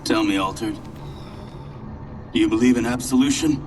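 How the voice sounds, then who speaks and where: An actor playing a menacing, guilt-ridden former army commander, speaking ominously and deliberately in a video game cutscene. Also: male voice, mid-range voice, background noise, close to an everyday voice. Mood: brooding, ominous. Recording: distant voice under loud ominous music.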